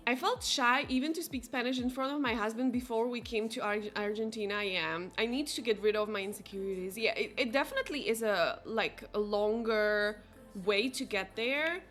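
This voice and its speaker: Bright-voiced Slovak woman chatting. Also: fairly high pitch, normal speed. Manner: chatty, heavy use of "like", reads viewers' chat aloud. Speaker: streamer. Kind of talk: casual chat while playing a game. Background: faint game music.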